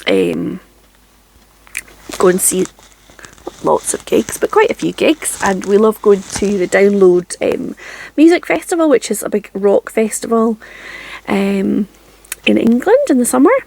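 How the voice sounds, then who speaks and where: A Scottish woman in her forties, chatting casually to camera from her home craft room in a chatty, self-deprecating way with frequent ums and soft laughs. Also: medium pitch, a little slow, vocal fry, long pauses, a little hiss or hum, low-quality recording. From Scotland. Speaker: craft channel host.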